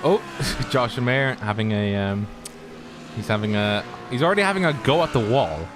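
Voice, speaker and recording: A man's voice: lowish voice, lively intonation, moderate pace, background noise. A man co-commentating a sim race stream in a jokey, chatty way, talking to the viewers. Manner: jokey, chatty, talks to the chat. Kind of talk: casual live race commentary.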